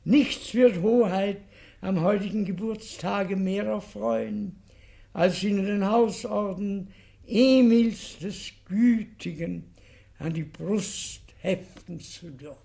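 An actor playing a genteel, affable baron and high official in a radio comedy, courtly and hesitant, often repeating himself. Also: male voice, takes it slowly, vocal fry, warm tone, full character voice. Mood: relieved, gracious. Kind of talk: classic German radio comedy acting.

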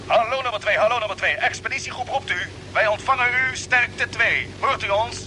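Male space captain, high voice, brisk and commanding, old radio serial with clear background noise. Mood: urgent. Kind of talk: vintage Dutch radio drama acting. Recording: noisy old radio play recording.

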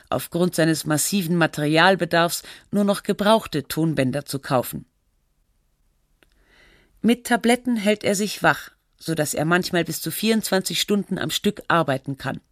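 A woman narrating a radio feature in a measured, factual and articulate scripted style. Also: on the low side, dry recording, studio quality, close to an everyday voice.

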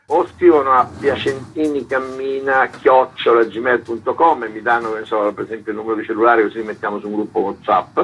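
A man's voice: medium pitch, flat delivery, brisk, hardly any pauses, fairly bright tone, a little hiss or hum, poor audio. A man explaining things informally as an interviewed organiser, in a rambling, colloquial way. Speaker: event organiser being interviewed.

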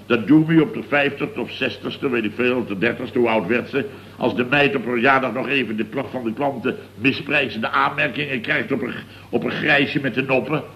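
An actor playing a blustering, exasperated middle-aged contractor boss who rambles indignantly in a radio comedy. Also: male voice, mid-range voice, a little fast, fairly bright tone, natural voice. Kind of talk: radio comedy serial acting. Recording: dull, noisy old radio recording.

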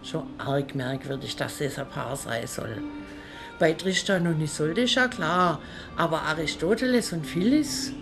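A woman's voice: deep voice, lively intonation, a little slow, over music. An actress playing a chatty, curious, teasing provincial woman with a Swabian accent in a radio drama. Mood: puzzled, pondering.

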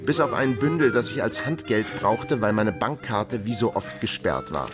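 An actor voices a down-on-his-luck male detective telling his own story in a crime radio play. The voice sits fairly low, laconic and brooding, with self-mocking wit. Music runs clearly behind the narration. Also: lively intonation, normal speed, close to an everyday voice. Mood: brooding, matter-of-fact. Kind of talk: scripted first-person crime radio drama narration.

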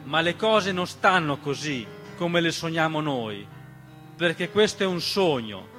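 Guy doing emphatic theatre monologue, sharp bright voice a bit high, piano behind. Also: animated. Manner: emphatic, rhetorical, with deliberate repetition. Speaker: narrator and writer-performer of a theatre monologue. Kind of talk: dramatic political theatre monologue. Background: piano accompaniment.

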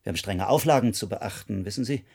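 A man playing a formal, guarded professor in charge of a space project, courteous and measured, in a radio drama. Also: on the low side, gravelly, darkish tone, breathy edge. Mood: smoothly evasive.

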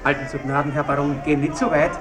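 An actor playing an obsequious, cautioning servant in a theatrical German radio play, deferential and formal. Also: male voice, a little high, flat delivery, quick pace, over a noisy crowd, close to an everyday voice. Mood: cautioning, sly. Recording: hissy radio recording with background bustle.

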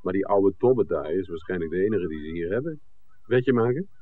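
An actor playing a level-headed screenwriter with a dry, teasing, sceptical way of talking in a 1960s Dutch radio play. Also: male voice, low voice, animated, fairly quick. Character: wry, level-headed male screenwriter, companion to the director. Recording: thin, muffled 1960s radio recording.

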